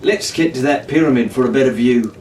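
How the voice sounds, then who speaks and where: A cocky, excitable teenage pirate captain from an adventure storybook, played by a male reader. He talks with swagger. The recording is roomy, with faint noise. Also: mid-range voice, a little fast, close to an everyday voice. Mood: decisive. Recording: echoey room, distant mic, faint hiss.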